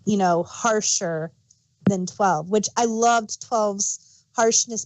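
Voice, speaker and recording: A woman's voice: medium pitch, a little mellow, no room sound, rough recording. A woman co-hosting a podcast, casually sharing her opinions on a TV show. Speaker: podcast co-host.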